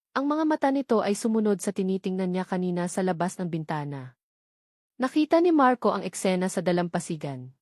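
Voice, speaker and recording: A woman audiobook narrator reading a scripted dramatic story in measured, short phrases. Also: mid-range voice, a little mellow, no echo, studio quality.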